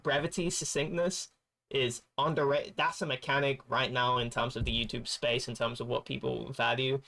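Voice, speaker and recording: A man's voice: mid-range voice, fairly even intonation, normal speed, vocal fry, sharp tone. A man chatting casually on a stream, rambling and restarting his sentences as he searches for words. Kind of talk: casual chat while gaming.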